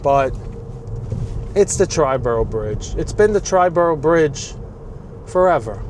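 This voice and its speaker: A man's voice: fairly high pitch, darkish tone. Grumbling sixty-something New York man. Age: 60s. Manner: opinionated, rambling, grumbling asides. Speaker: vlogger. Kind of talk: casual chat while driving. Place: inside a car in city traffic. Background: faint traffic noise.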